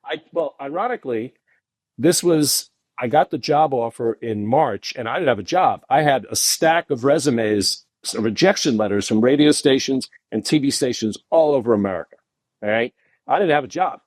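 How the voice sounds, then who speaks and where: A middle-aged man, a podcast guest telling long animated anecdotes full of restarts. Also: normal speed, no room sound. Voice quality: deep voice. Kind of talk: casual storytelling interview.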